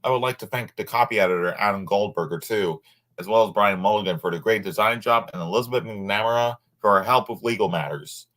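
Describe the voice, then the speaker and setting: A man reading a nonfiction book aloud informally, sometimes halting over words and adding asides. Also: fairly low pitch, a bit bright.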